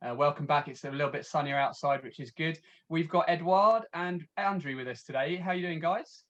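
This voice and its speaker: A man's voice: on the high side, fairly quick, fairly bright tone. A man hosting a webinar, chatting loosely and in a friendly way with guests over a video call. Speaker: host. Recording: dull lo-fi video call audio.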